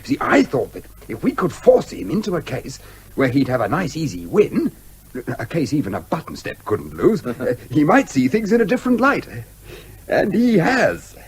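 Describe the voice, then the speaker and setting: Eager young English gentleman, high and lively. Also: normal speed, a little hiss or hum. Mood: pleased, confiding. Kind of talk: comic radio drama acting.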